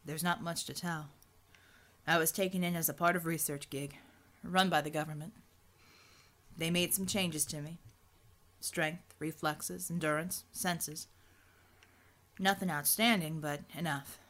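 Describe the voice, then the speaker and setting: A woman's voice: steady tone, measured pace, a bit bright. A woman playing a guarded, plain-spoken American man in an audiobook story, laconic and hesitant. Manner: laconic, hesitant, low-key.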